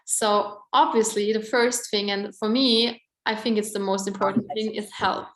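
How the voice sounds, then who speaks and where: A woman's voice: medium pitch, steady tone, moderate pace, roomy sound, low-quality recording. A woman in her twenties with an Austrian German accent, presenting at an online event in a chatty way, full of "like" and "um". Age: 20s. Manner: chatty, many "like" and "um" fillers.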